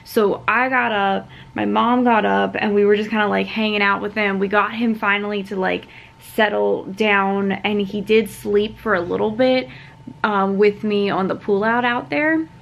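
A woman in her twenties chatting casually and haltingly to camera in an informal, conversational way. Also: mid-range voice, steady tone, gravelly, lo-fi sound. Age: 20s. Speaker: vlogger. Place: hotel room, indoors.

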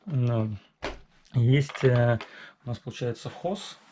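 A man casually walking through a new RC truck in a workshop, talking haltingly with many fillers and self-corrections. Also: mid-range voice, background noise. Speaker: RC hobby reviewer. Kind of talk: casual unboxing walkthrough. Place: indoor garage workshop.

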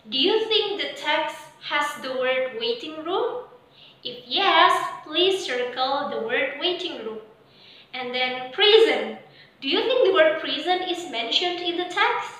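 Young female English teacher, bright high voice, slow instructional delivery in a reverberant classroom. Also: lively intonation. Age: young adult. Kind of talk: instructing a pupil to camera. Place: bare indoor classroom before a whiteboard. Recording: very echoey room.

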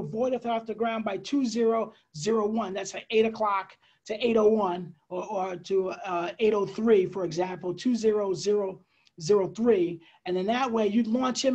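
A middle-aged man with an American accent explains slides as an air traffic controller, conversational and a bit halting, with pauses and asides. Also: sits high, a little mellow. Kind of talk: informal slide presentation, explanatory. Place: indoors, on a video call.

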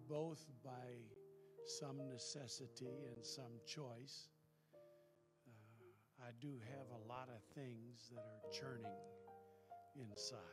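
An older man talks slowly and haltingly into a handheld mic, reflective and unhurried. Loud guitar and piano play beneath his voice in the church sanctuary. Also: medium pitch. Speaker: minister leading the closing prayer. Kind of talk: reflective talk before prayer. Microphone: handheld microphone. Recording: loud music under the voice.

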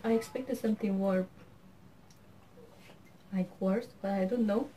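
A woman's voice: mid-range voice, fairly even intonation, measured pace, warm tone, a little hiss or hum. A young woman from Romania, a student, chatting casually and a little hesitantly. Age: young adult. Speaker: student guest. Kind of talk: casual chat.

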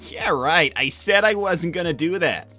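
Young male cartoon hedgehog hero, played by a woman: low-pitched, laid-back, casual rambler with lively swings. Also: normal speed, soft background music. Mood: dismissive, reassuring. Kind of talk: improvised in-character livestream chat.

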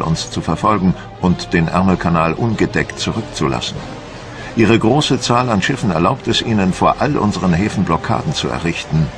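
An actor playing a proud, dutiful French admiral who recounts his campaign in a solemn, measured, formal way for a documentary radio play. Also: low-pitched. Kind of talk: dramatised documentary narration.

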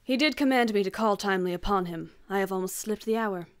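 A woman's voice: medium pitch, quick pace, clear, resonant tone, close to an everyday voice. A woman playing a grave, passionate Scottish noble in a Shakespearean radio drama. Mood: brisk, courteous.

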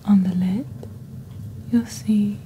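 A young woman playing a composed witch who presents a shopping channel in soft, breathy, half-whispered ASMR. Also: mid-range voice, steady tone, slight background noise, natural voice. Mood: composed, mysterious. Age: young adult. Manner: slow, deliberate, measured. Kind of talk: ASMR roleplay, scripted product presentation.

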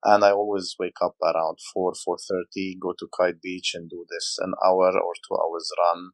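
A man's voice: deep voice, level tone, moderate pace, no echo, poor audio. A man from Lebanon in his forties, a podcast guest telling stories in casual talk with many hesitations. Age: 40s. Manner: hesitant, many fillers, storytelling.

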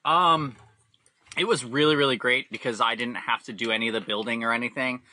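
A man with a very bright, forceful voice at a middle pitch, recorded dry. He chats casually as an interview guest, telling his own story in a halting, rambling way with self-corrections. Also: dry recording. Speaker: podcast guest, hobby camper builder. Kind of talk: casual chat, personal storytelling.

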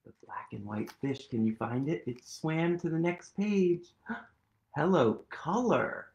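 A playful man in his thirties reads a picture book to small children in a slow, unhurried way. His pitch swings widely as he coaxes the kids along, and a gravelly edge sits under his voice. He sits on a sofa at home, talking into a webcam. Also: highs cut off. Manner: animated, playful, sing-song, whispers for effect. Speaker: children's storytime reader. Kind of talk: read-aloud for young children. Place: living room at home, seated on a sofa.

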